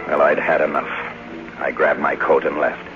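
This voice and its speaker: An actor playing a cynical, struggling Hollywood writer in a 1950s radio drama, blunt and hard-boiled in manner. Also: male voice, rumbling low, flat delivery, normal speed, faint music behind. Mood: wry, matter-of-fact. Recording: dull vintage 1950s radio broadcast.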